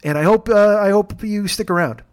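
A man in his forties hosting a podcast alone, talking in a rambling, jokey, self-deprecating way with a gravelly voice. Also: high-pitched, a little fast. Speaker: podcast host. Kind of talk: casual solo monologue.

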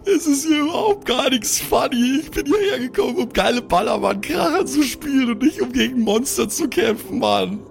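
A man's voice: very high-pitched, animated, moderate pace, vocal fry, few pauses, soft background music, pitched above the performer's own voice, heavily acted. A man voicing a hysterical, sulky party DJ who whines and protests tearfully in an improvised tabletop audio play.

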